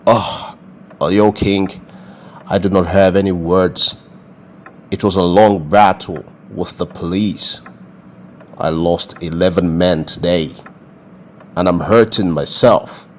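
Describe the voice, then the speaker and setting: A cornered gang boss acted by a man pleads his case in a put-on American accent. His voice is low and aggrieved, in comedy skit style, over faint noise. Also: fairly even intonation, takes it slowly, pauses often. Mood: defeated. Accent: affected American.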